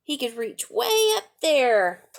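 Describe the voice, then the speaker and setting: Slow-paced woman reading a children's storybook. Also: sits high, big pitch swings, gravelly. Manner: explains things simply, asks the listeners questions. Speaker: storytime reader and teacher. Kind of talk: reading a picture book aloud to young children with chatty asides.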